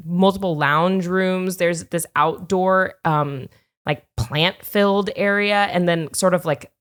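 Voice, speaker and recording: A woman co-hosting a podcast in casual chat, chatty and laughing often, with quick asides. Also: lowish voice, a bit bright. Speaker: podcast co-host.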